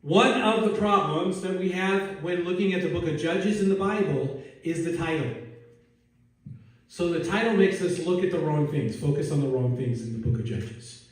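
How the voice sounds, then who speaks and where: A male pastor preaching a relaxed, joking sermon and asking his congregation questions, recorded in a church sanctuary from a distance. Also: a little high, moderate pace. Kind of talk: conversational sermon. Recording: echoey hall, distant mic.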